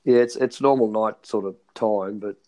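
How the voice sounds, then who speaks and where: An Australian man with a hoarse, partly lost voice and a phlegmy throat, chatting in a laid-back way with many fillers. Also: lowish voice, normal speed, darkish tone, lo-fi sound. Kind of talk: casual chat.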